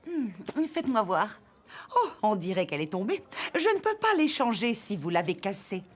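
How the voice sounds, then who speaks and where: A woman voicing a soft-hearted shop clerk with an expressive delivery in French cartoon dubbing. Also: medium pitch, normal speed. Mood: businesslike.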